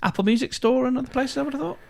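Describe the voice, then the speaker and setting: A man co-hosting a podcast, giving opinions casually, halting and repeating phrases for emphasis. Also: very high voice, vocal fry. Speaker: podcast co-host.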